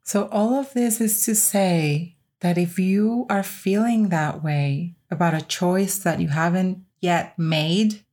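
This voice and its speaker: Female podcast host, lower-register voice, reflective first-person delivery, emphatic with deliberate repetition. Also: a little mellow. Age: 30s or older.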